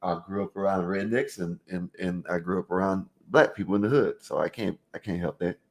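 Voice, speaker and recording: A man's voice: sits low, brisk. A man raised in Florida, hosting a live call-in stream, reading the chat aloud and rambling in loose slang, full of "you know what I mean.". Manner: rambling with restarts, talking straight to viewers.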